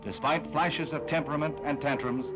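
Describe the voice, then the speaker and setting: A man's voice: fairly high pitch, fairly even intonation, normal speed, low-quality recording. Formal man narrating a 1960s newsreel over music. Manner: solemn, formal announcer delivery. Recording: vintage newsreel soundtrack with music. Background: background music.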